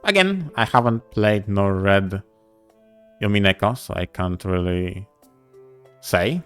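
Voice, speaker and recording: A man co-hosting a podcast in casual discussion, speaking in a deliberate, halting way. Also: on the low side, expressive, fairly bright tone, smooth, faint music behind. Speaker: podcast co-host. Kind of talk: casual discussion of visual novels.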